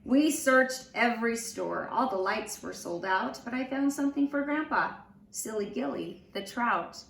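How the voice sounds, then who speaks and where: A woman reading a children's storybook aloud in an expressive, animated storytelling manner. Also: fairly high pitch, creaky. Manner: slow, animated storytelling with acted voices. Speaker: storybook reader. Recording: roomy, distant mic with faint noise.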